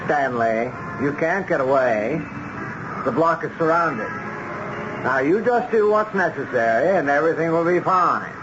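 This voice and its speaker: Cold, coaxing crime boss, noisy recording. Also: male voice, on the high side. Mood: coaxing menace. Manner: calm, measured, coaxing, menacing. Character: cold, smooth-talking male boss of contract killers. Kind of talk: radio drama acting. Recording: dull, noisy old radio broadcast recording.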